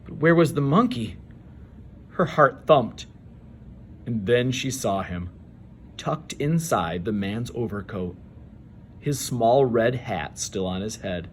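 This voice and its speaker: Slow, expressive male storybook reader. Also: mid-range voice, slight background noise. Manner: measured, dramatic pauses. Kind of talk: expressive children's read-aloud narration.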